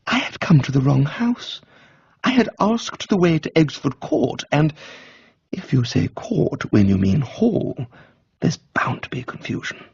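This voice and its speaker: Husky British man narrating comedy. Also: on the high side, sing-song, measured pace, darkish tone, dull audio. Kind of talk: scripted comic first-person narration.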